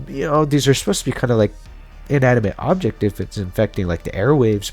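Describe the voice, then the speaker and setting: A man's voice: medium pitch, a little mellow. A man giving casual explainer commentary as a video host, talking through theories in an informal, speculative way. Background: background music.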